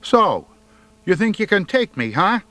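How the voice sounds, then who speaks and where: A man voicing an awkward, nervous teenage boy who fakes bravado, halting and stammering, in an audiobook. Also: sits high, big pitch swings, bright, forceful tone, voice pushed up, full character voice. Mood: blustering.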